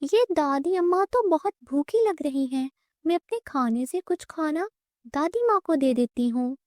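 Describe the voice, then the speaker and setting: A woman voicing a kind, self-sacrificing young orphan girl, an elder sister in a cartoon moral story, gentle, earnest and polite. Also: sits high, expressive, a little slow, no echo, studio quality, voice pushed up. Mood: compassionate.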